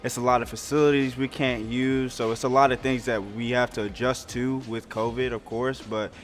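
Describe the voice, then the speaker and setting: A man's voice: mid-range voice, little pitch movement, normal speed, slight background noise. A young man, a college athlete, talking matter-of-factly in an interview and tagging his points with "you know what I mean.". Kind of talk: recorded player interview.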